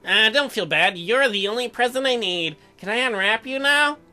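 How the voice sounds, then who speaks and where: Woman acting earnest newlywed husband, visual novel game, high bright voice, halting, self-deprecating, music behind. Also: expressive. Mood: sweet, apologetic. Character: eager, apologetic young newlywed husband, sweet on his wife. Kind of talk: visual novel dialogue read aloud.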